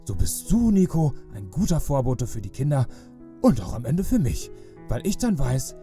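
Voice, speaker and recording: A jolly old Father Christmas acted by a man speaks with fatherly kindness in a children's audio play. His voice is warm and mellow and swings widely in pitch, with soft music underneath. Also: big pitch swings, moderate pace. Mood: gently solemn.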